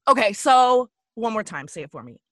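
A woman hosting a casual podcast, bubbly and gushing as she introduces her guest with quick asides. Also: fairly high pitch, big pitch swings, fairly bright tone, no echo, studio quality. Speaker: podcast host.

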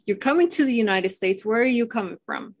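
A woman's voice: fairly high pitch, lively intonation, brisk. Chatty podcast host woman. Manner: chatty and laughing easily. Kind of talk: casual interview chat.

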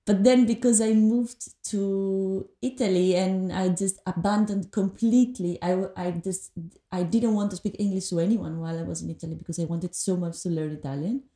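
Mellow-voiced woman. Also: mid-range voice, fairly even intonation. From Greece. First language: Greek. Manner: thoughtful, hesitant, many pauses and fillers. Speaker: podcast guest. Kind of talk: reflective conversation. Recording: echoey room.

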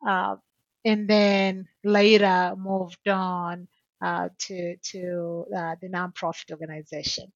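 A middle-aged woman from Tanzania, a podcast guest telling her story in a halting way with many fillers and restarts. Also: fairly low pitch, level tone, dry recording, highs cut off. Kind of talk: conversational interview answer.

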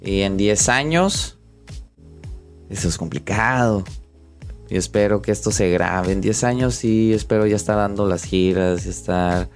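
A man's voice: fairly low pitch, measured pace, faint music behind. A man with a northern Mexican accent chatting casually as an interview guest, a comedian who often hesitates and searches for words. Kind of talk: casual chat.

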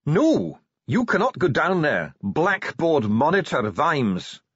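Man voicing a haughty high-pitched dwarf official. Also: wildly swooping pitch, a little slow, slightly rough, no room sound, muffled recording, exaggerated voice. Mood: venomous. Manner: pedantic, condescending, coolly needling. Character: haughty, calm, venomous male dwarf official of the underground. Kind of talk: audiobook character acting.

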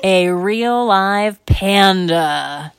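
A woman's voice: medium pitch, voice pushed up. A woman voicing an upbeat zoo volunteer who announces prizes grandly, stretching the words out. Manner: drawn-out announcer delivery.

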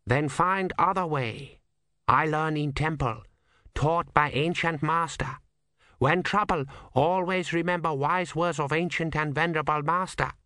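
Guy doing a wry old sweeper monk, drops little words, slow and dry. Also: fairly high pitch, pushed and bright, clear, resonant tone, no echo, voice pushed up. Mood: wise. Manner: clipped broken English, drops small words.

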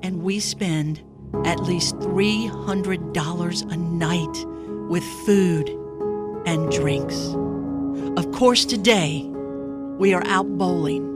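A woman's voice: fairly low pitch, slow. A woman on a morning radio crew, bantering casually and reading reviews with mock drama. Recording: loud music bed underneath. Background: loud music.

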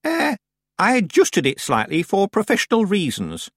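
A man voicing a proud, bossy, mischievous very old woman in an audiobook story, with a high, shrill, imperious delivery. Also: huge pitch swings, moderate pace, a bit bright, husky, no room sound, clean studio sound, heavily acted. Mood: haughty. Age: over 100. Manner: imperious, theatrical scolding.

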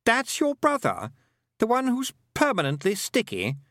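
A man voicing a haughty yet anxious twelve-year-old lost boy in a storybook, emphatic and excitable. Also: very high voice, a little slow, no echo, clean studio sound, voice pushed up, heavily acted. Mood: haughty, doubtful.

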